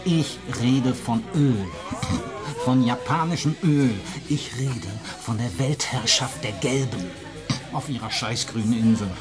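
A man's voice: mid-range voice, unhurried, lightly acted. A male actor in a German radio play, playing a foul-mouthed, ranting drinker who spouts conspiracies in a coarse, emphatic way. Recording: music behind the voice.

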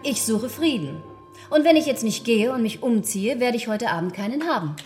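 An actress in a German radio drama playing a quick-witted, flirtatious duchess with a poised, sparring manner. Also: female voice, a little high, animated, darkish tone, faint music behind, lightly acted. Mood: arch, playful.

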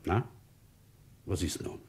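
An actor playing a methodical, weary police inspector in a German radio crime drama, with a husky voice. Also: male voice, takes it slowly, lightly acted. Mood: probing, stern. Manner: brooding in narration, firm and probing in questioning.

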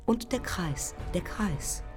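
A woman's voice: medium pitch, lively intonation, slow, a little mellow, in a whisper. An actress playing a daring, intense 1920s woman aviator in a poetic radio drama, speaking in clipped, incantatory fragments. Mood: dreamy, precise. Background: music under the voice.

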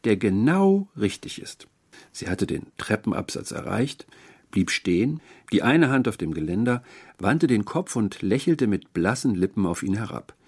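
Man, fairly low pitch, husky tone, literary audiobook narration. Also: animated, moderate pace.

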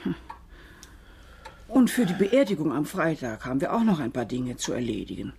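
An actress playing an affectionate wife who speaks gently and hesitantly in a radio crime drama. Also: female voice, deep voice, big pitch swings, normal speed, vocal fry, exaggerated voice. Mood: coaxing.

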